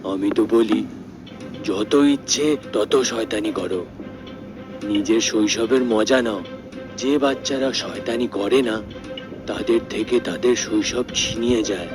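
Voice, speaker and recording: A man acts out a kindly cartoon sage who indulges and advises. His voice sits fairly low and moves slowly, with music clearly playing behind it. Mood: indulgent. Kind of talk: cartoon dialogue acting.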